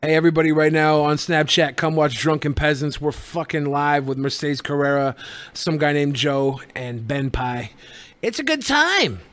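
A man's voice: a little high, moderate pace, a bit bright, no room sound. A man co-hosting a podcast, bantering casually and reading out chat messages in a crude, jokey way. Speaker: podcast co-host.

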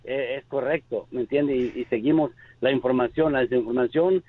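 A man's voice: mid-range voice, normal speed, dry recording. A man calling in to a radio show, rambling and repeating himself as he makes his point. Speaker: caller. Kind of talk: call-in comment. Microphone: telephone. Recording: tinny, dry phone line.

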